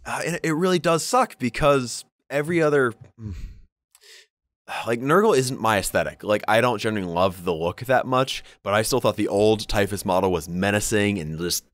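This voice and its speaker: A man's voice: fairly low pitch, normal speed, a bit bright, dry recording. A man co-hosting a podcast, talking casually about his hobby with slangy, informal opinions. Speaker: podcast co-host. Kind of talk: casual chat about tabletop wargaming.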